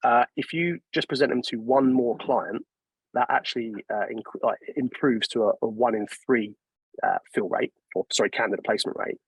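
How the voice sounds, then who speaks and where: A man's voice: mid-range voice, normal speed, breathy edge, dry recording, rough recording. A man giving a webinar presentation in an informal, explanatory manner. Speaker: software company presenter.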